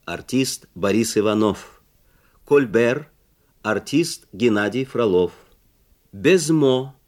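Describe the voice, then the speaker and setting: A man reading radio drama credits as an announcer, in a formal, measured, scripted manner. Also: medium pitch, expressive, a little mellow.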